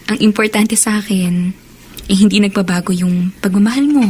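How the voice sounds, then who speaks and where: A woman's voice: medium pitch, normal speed, a little mellow, slightly rough, natural voice. A woman with a Filipino accent acting as a loving, patient girlfriend in a radio drama. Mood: affectionate, understanding. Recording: faint background ambience noise.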